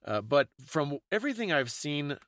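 A man's voice: on the high side, big pitch swings, moderate pace, a bit bright, dry recording, studio quality. A man hosting a podcast, explaining things in a casual, thoughtful conversational way. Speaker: podcast host.

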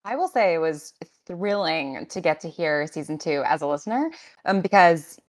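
Woman, low pitch, enthusiastic, articulate, writer in a panel discussion. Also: animated, normal speed. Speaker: audio drama writer.

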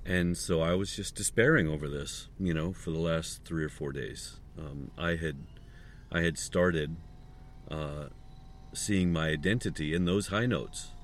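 An opera singer, a man with a slightly hoarse voice, talking casually and haltingly with many restarts and fillers. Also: rumbling low, slight background noise. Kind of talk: casual spoken reflection.

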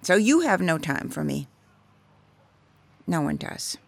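Resentful low-voiced wife, acted by a woman, very expressive. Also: takes it slowly, gravelly, dry recording, full character voice. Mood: wistful, hurt. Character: resentful, lonely middle-aged wife and mother. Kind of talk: radio drama acting.

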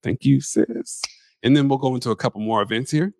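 A man hosting a casual chat show, lively and animated in his talk. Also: mid-range voice, a little fast, creaky, no room sound. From Pacific Northwest. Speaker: host.

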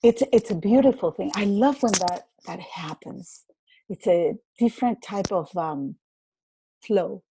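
A woman with a Venezuelan Spanish accent, a native Spanish speaker, chatting as a guest business coach in a podcast interview. She speaks in a slow, reflective way, with many fillers and repeated words. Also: mid-range voice, vocal fry, warm tone, slight background noise, low-quality recording. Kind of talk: casual interview conversation.

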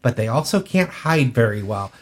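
A man's voice: mid-range voice, big pitch swings, moderate pace. A man co-hosting a podcast, giving his opinions in casual discussion. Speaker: podcast co-host.

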